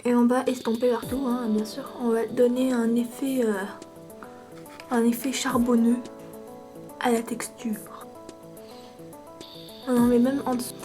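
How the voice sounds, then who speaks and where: A woman with a cold chats through a makeup tutorial. Her voice sits a little high, and she pauses constantly with fillers while keeping a mock-serious, playful tone. Music plays clearly in the background. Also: flat delivery, mellow. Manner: hesitant, many fillers, playful parody tone. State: stuffy with a cold. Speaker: makeup vlogger.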